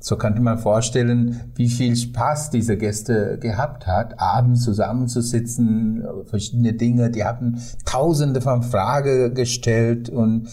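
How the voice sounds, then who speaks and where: A middle-aged or older man with a Sri Lankan accent speaks as a non-native, at a slow pace with frequent hesitations. His voice is soft-edged and mellow, in a conversational storytelling style. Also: mid-range voice, fairly even intonation, breathy edge. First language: not German. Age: 50s or older. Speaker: tour guide, podcast guest.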